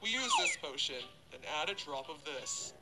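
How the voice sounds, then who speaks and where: Guy doing comic cartoon character, kinda high male voice, music behind. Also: expressive, normal speed, natural voice, poor audio. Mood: earnest. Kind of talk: character voice acting.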